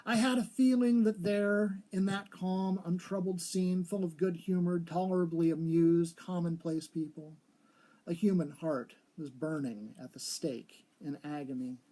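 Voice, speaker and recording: Husky, higher-pitched man reading a ghost story. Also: fairly even intonation, a little slow, warm tone. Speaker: story reader. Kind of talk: live dramatic reading of a vintage ghost story.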